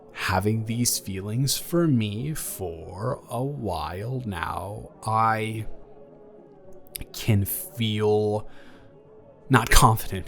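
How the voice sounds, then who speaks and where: A young man playing a caring, awkward ex-boyfriend in an improvised romantic audio roleplay, speaking in a halting, earnest, gently reassuring way. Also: lowish voice, gravelly. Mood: nervous, tender. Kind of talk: improvised romantic audio roleplay, intimate one-sided conversation. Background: background music.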